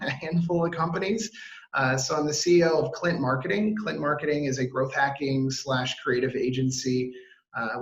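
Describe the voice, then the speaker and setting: A man in his thirties from Washington, D.C., with an American accent, giving an energetic webinar talk full of fillers and restarts. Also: mid-range voice, reverberant, low-quality recording. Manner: upbeat, fast, filler-heavy, self-interrupting. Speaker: webinar presenter. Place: home or office on a video call. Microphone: video call mic.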